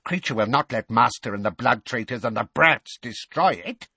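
A man's voice: mid-range voice, wildly swooping pitch, moderate pace, fairly bright tone, slightly rough, dry recording, voice pushed up, heavily acted. A man voicing a bitter, servile old house-elf in a storybook, muttering about himself in the third person. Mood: bitter, resentful.

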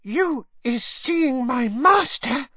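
A woman voicing a small female house-elf in a storybook, a devoted, overwrought servant with a shrill squeal and broken grammar. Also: animated, very slow, husky, dry recording, dull audio, heavily acted. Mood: breathless, excited. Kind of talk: audiobook character voice.

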